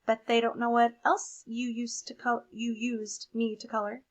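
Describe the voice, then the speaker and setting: A woman's voice: a little high, little pitch movement, normal speed, close to an everyday voice. A woman voicing an embarrassed, resentful brown crayon, accusing and sarcastic, in a storybook.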